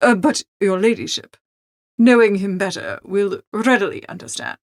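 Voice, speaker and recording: An unflappable English manservant, played by a woman reader, speaks softly and formally. He keeps a measured, discreet, deferential tone in a dry storybook recording. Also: mid-range voice, dry recording, lightly acted. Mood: smoothly deferential. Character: unflappable, deferential English valet, a male servant. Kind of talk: audiobook character acting.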